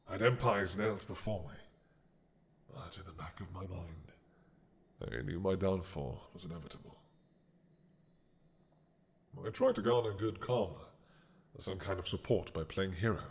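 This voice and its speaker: An ancient dragon king, brooding and full of regret, as a man acts him out, musing aloud in a low, deep voice. He speaks slowly and softly, sighing between thoughts, in an audio roleplay. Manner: slow, soft-spoken, musing with sighs.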